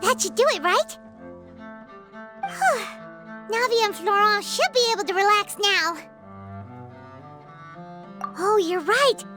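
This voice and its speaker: A woman voicing a tiny, eager, childlike fairy companion in a video game, with a squeaky, exclaiming delivery. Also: lively intonation, takes it slowly, pauses often, pushed and bright, soft background music, heavily acted. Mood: relieved, excited. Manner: speaks of herself in the third person, exclaiming. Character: tiny high-pitched floating fairy girl companion, eager, excitable. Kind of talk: animated game voice acting.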